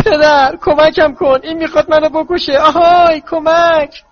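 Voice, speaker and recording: A man's voice: very high voice, fairly even intonation, muffled recording, a little hiss or hum, pitched above the performer's own voice. A man voicing a sulky, cowardly teenage bully who whines and shrieks for help. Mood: shrieking. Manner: whining, then shrieking for help.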